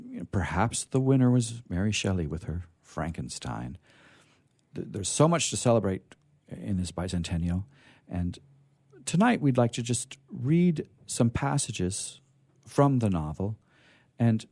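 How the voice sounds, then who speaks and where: A man's voice: on the low side, mellow, slightly rough. A man hosting a radio theatre reading, introducing it off the cuff and searching for his words as he goes. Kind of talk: unscripted introduction to listeners.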